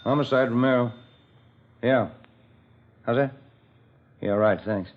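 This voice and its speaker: An American actor playing a brisk, matter-of-fact police detective in a 1950s radio drama. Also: male voice, mid-range voice, natural voice. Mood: businesslike. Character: businesslike male police detective, the sergeant's partner. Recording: muffled, noisy vintage radio recording.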